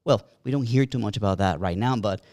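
A man with a fairly low voice co-hosts a casual talk show, pressing his guest with pointed, opinionated questions. The sound is dry and close, with no room around it. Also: fast, a little mellow, no echo. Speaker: co-host. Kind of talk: casual discussion.

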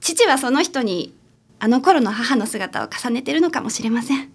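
A woman's voice: on the high side, expressive, normal speed, creaky, breathy edge, heavily acted. A woman playing a troubled, sincere grown-up daughter who is a client in a radio drama. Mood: earnest confiding. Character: troubled, sincere adult daughter seeking a detective's help.

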